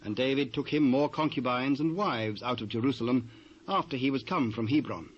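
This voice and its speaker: A British man narrating a dramatised Bible reading in a measured, formal manner. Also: medium pitch. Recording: dull, noisy old cassette.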